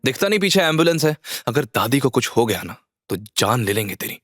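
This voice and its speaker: A man playing an arrogant, hot-tempered young rich man in an audio drama, shouting and hectoring. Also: mid-range voice, big pitch swings, a little fast, no echo, clean studio sound. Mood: angry shouting. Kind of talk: Hindi audio drama acting.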